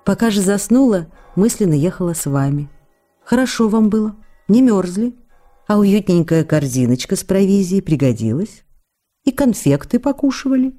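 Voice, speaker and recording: An actress playing a lively, affectionate young actress who reads her letters in a chatty, coquettish way. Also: medium pitch, normal speed, soft background music, natural voice. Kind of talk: acted reading of letters in a radio play.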